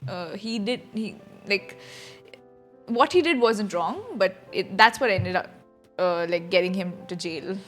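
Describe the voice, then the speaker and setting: A woman's voice: medium pitch, animated, unhurried, fairly bright tone, faint music behind. A young adult woman streaming a game, giving casual, rambling live reaction commentary. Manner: rambling, conversational.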